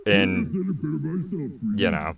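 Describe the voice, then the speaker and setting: A man with a fairly low voice gives an off-the-cuff creator commentary. He rambles hesitantly and plays down his own work. Other voices from the playing episode are clearly heard behind him. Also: steady tone, extremely slow, over a noisy crowd. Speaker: creator and voice actor giving commentary. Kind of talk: casual, unscripted commentary on own animation.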